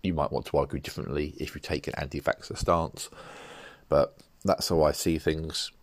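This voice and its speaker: A middle-aged man hosting a solo podcast, talking in a measured, rambling way and often correcting himself, his voice nasal from a cold. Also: extremely low pitch, fairly even intonation, no echo. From United Kingdom. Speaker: podcast host.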